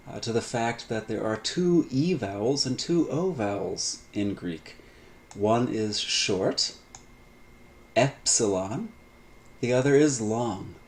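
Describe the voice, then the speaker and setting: A middle-aged man giving a recorded online lecture on biblical Greek, measured and full of "uh" fillers, stretching out key terms. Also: fairly low pitch, a little mellow, slight background noise. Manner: measured, frequent "uh" fillers, drawn-out emphasis on terms. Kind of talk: recorded online lecture over textbook pages.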